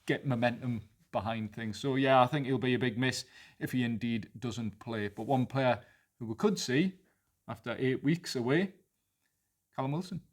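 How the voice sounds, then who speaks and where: A man's voice: medium pitch, normal speed. A man with a Geordie accent co-hosting a football podcast, talking through team news fluently and thinking aloud. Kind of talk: casual football analysis chat.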